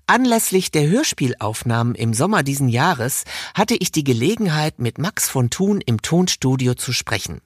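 A man presents a podcast. His mid-pitched voice moves up and down in a lively way. The sound is dry and studio-close. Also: normal speed, few pauses, husky, no room sound. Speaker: podcast host and interviewer. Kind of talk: scripted podcast introduction, then studio interview. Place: recording studio in Berlin.

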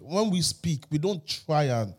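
A man's voice: on the high side, sing-song, unhurried, slightly rough. A young Irish man in a casual group chat, blunt and teasing. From Ireland.

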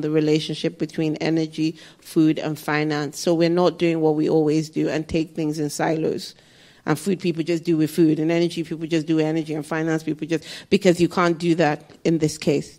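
Candid woman answering audience questions. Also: low-pitched. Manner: animated, candid, quick asides and restarts. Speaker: speaker on development finance and energy.

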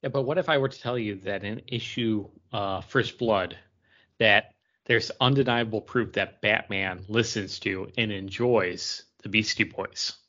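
A man's voice: lowish voice, steady tone, measured pace, bright, forceful tone. A man co-hosting a podcast, talking in a casual, conversational way. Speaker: comics podcast co-host. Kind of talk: casual discussion. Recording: dull lo-fi audio.

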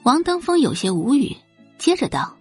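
Female audiobook narrator: lively, varied intonation, dramatic scripted storytelling, close dry sound over faint music. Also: high voice, moderate pace, husky.

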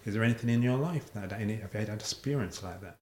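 A man's voice: fairly low pitch, racing, a little mellow, echoey room, slight background noise. A man conducting a reflective interview, asking thoughtful questions and backing them with images and his own memories. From family roots in Jamaica. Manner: reflective, uses metaphors, frequent affirmations. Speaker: interviewer, sound engineer. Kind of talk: conversational interview.

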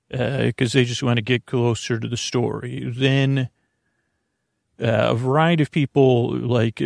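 Creaky voiced guy rambling through a bedtime podcast, keeps restarting and trailing off, mid pitch, real dry close sound. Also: a little mellow, dry recording. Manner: meandering, halting, repeats and trails off. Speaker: podcast host.

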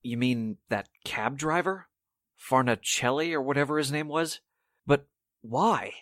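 An actor playing a defensive, earnest heir under questioning in a 1940s-style radio drama, guarded and hesitant. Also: male voice, medium pitch, a little slow, fairly bright tone, no room sound, studio quality, lightly acted. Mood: shocked. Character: defensive male heir questioned about a murder.

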